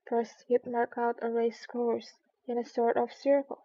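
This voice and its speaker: A woman's voice: a little high, monotone, unhurried, warm tone. A woman narrating a children's storybook aloud in a careful read-aloud style. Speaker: streamer reading books aloud. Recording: slightly dull, lo-fi sound.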